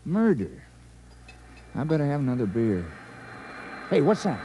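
An actor in a 1950s radio comedy playing a reluctant, mild-mannered, plain-spoken villager made town criminal. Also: male voice, fairly high pitch, sing-song, a little slow, mellow, husky, exaggerated voice. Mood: troubled.

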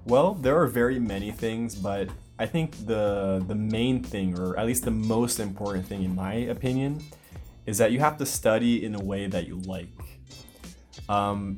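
A man from the United States hosting a casual language-learning podcast, explaining things patiently with clear examples. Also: lowish voice, darkish tone. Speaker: podcast host. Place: lives in Brazil.

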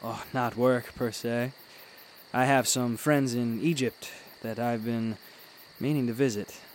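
An actor playing a kindly, unflappable gentleman traveller, polite and eloquent, in a radio drama. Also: medium pitch, steady tone, unhurried, a little hiss or hum, close to an everyday voice. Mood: courteous, curious.

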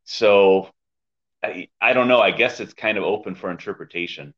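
Lower voiced guy cohosting a comics review podcast, wry and chatty, kinda dull lofi audio. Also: normal speed, fairly bright tone. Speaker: podcast co-host. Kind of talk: casual comic book review chat. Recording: dull, lo-fi microphone sound.

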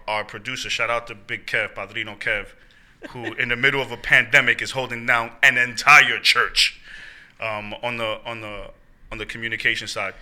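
Bright-voiced man, pastor interviewing. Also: mid-range voice. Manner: animated, laughs often, slang. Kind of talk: casual church interview.

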